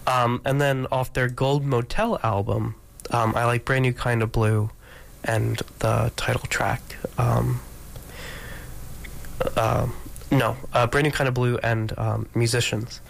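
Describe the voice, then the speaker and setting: Congested male DJ, gravelly, rambling casual studio patter. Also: mid-range voice, little pitch movement, slow, slight background noise, low-quality recording. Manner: rambling, many ums and restarts. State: congested from allergies. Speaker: radio DJ. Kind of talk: casual radio chat between songs. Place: radio studio.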